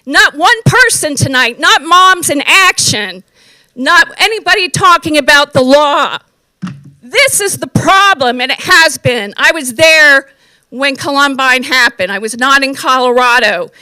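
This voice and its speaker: A woman from the US East Coast, speaking loudly and emphatically as a public commenter at a county board meeting. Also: high voice, animated, unhurried, dry recording, rough recording. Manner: loud, emphatic, forceful delivery.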